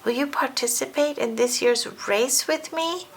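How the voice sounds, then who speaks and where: A woman's voice: high-pitched, measured pace, a little hiss or hum, pitched above the performer's own voice. A woman voicing an earnest, brave young elephant-headed boy god in a storybook, speaking with emphasis. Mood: eager.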